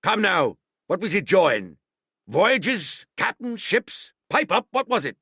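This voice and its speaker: A hearty old one-legged cook, as a man puts him on, blustering. His voice swoops wildly and grinds with gravel. The sound is dry and close, with a bright, husky edge. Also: sing-song, a little slow, dry recording, exaggerated voice. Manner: blustering, booming sea-dog bluster.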